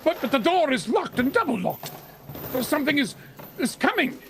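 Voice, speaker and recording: A man's voice: extremely high pitch, sing-song, normal speed, a bit bright, slight background noise, exaggerated voice. A man playing a grumpy, bullying old miser in an old-time radio drama, barking and grumbling, easily rattled into stammering. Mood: rattled, fearful.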